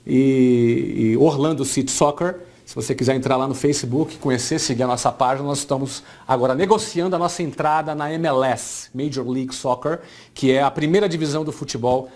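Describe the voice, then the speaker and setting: Male, 40s, Brazilian Portuguese accent, mid-pitched voice. Entrepreneur giving a conversational motivational talk. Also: a little fast, a little mellow. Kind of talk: informal motivational business talk.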